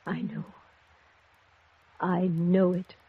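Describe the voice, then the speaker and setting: An actress playing a dignified elderly wealthy widow in a 1950s radio drama, with a husky, creaky voice and halting, genteel, theatrical delivery, grieving but composed. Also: female voice, lowish voice, completely flat delivery, exaggerated voice. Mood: shaken. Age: older. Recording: muffled vintage radio recording.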